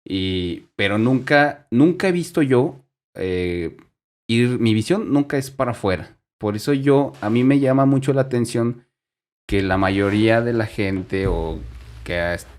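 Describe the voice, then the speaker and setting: A Mexican man from Durango hosting a casual podcast, talking earnestly and haltingly, often repeating his words. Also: mid-range voice, darkish tone. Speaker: podcast host.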